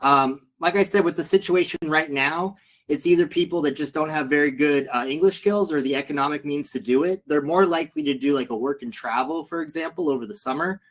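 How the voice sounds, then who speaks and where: A man's voice: on the high side, fairly quick. A man speaking on a panel as an educator, frank and casual, with many fillers. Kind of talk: panel discussion.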